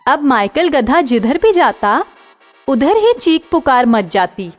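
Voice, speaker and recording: A woman narrating a children's moral story in a clear, expressive storytelling style. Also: sits high, takes it slowly, big-room sound, faint music behind.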